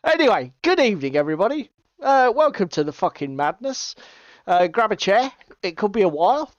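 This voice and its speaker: A man's voice: sits high, sing-song, a little fast, no room sound, lo-fi sound. A middle-aged man with an English East Midlands accent hosting a casual livestream, bantering with chat in a sweary, jokey, mock-exasperated way. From East Midlands, England. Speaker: livestream host. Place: small indoor room at home.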